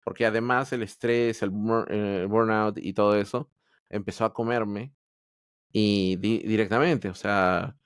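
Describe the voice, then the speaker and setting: A man's voice: fairly low pitch, steady tone, unhurried, smooth, dry recording, studio quality. A man from Peru, telling his story as a podcast guest in a candid, hesitant way full of restarts. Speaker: podcast guest, Android developer. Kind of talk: casual interview storytelling.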